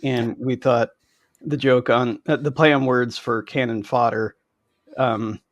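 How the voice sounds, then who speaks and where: A man in his thirties or forties, a game publisher, explaining things casually and haltingly as an interview guest. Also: medium pitch, fairly even intonation, no echo.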